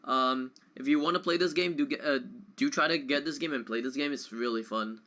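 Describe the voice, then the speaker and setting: A man giving casual, excitable live commentary on a game he is speedrunning, prone to exclamations. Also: mid-range voice, brisk.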